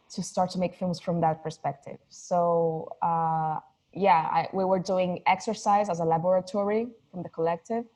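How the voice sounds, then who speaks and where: Thoughtful woman in her 30s from Mexico, second-language speaker, low warm voice, halting restarts, video call interview. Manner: thoughtful, searching for words, many restarts. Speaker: filmmaker guest. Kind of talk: casual interview conversation.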